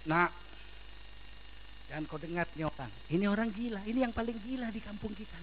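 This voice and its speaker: A man voicing a scornful unbelieving village father who warns his child in a hushed tone. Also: high voice, fairly quick, phone-quality sound, a little hiss or hum, close to an everyday voice. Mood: warning.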